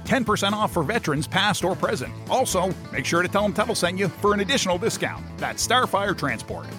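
Lively ad announcer over guitar music. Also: male voice, sits high, fairly quick. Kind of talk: read radio ad.

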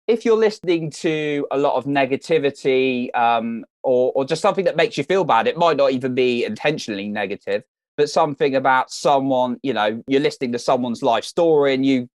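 A man's voice: medium pitch, fairly quick, darkish tone, dry recording, clean studio sound. Earnest encouraging British-raised man. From United Kingdom. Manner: earnest, encouraging, repeats words while thinking. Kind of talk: casual interview advice.

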